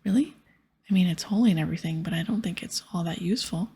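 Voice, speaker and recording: A woman's voice: on the low side, fast talker, mellow, slightly rough. A woman voicing a wry female adventurer in game dialogue, whispering breathily. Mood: wry, puzzled. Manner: wry, questioning.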